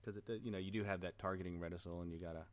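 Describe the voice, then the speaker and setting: Quick-talking low-voiced man, very dry sound. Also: fairly even intonation, dry recording. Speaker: podcast co-host. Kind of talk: casual gaming podcast chat.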